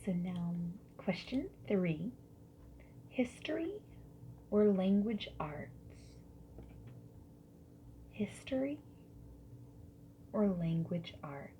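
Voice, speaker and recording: A woman doing a soft-spoken ASMR roleplay as a friendly survey interviewer, slipping between whispers and quiet speech. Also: mid-range voice, lively intonation. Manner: friendly, gentle, unhurried questioning with soft acknowledgements. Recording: lo-fi muffled sound with background noise.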